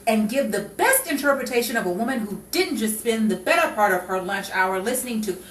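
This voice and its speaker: A woman's voice: on the high side, animated, brisk, a bit bright. A woman playing a sensible, stern elder sister who works as a bank clerk in a 1950s crime melodrama, with formal, indignant phrasing. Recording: echoey, distant, noisy room recording.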